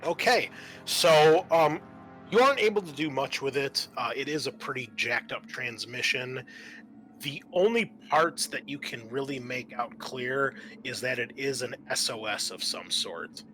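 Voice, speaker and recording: A man improvising narration as game master of a tabletop game, in a halting, conversational way. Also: medium pitch, animated, pushed and bright, faint music behind, rough recording.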